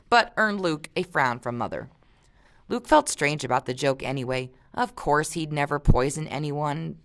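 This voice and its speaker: A woman reading aloud expressively as a teacher, pausing for casual discussion and guiding questions. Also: fairly low pitch, a bit bright. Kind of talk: audiobook-style read-aloud with casual discussion.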